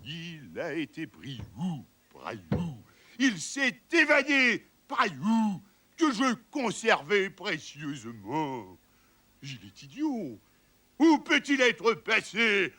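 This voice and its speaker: A man's voice: high-pitched, huge pitch swings, slow, sharp tone, full character voice. A man playing a pompous, greedy, grotesque tyrant in a theatrical play reading, ranting in mock Latin and trading curses.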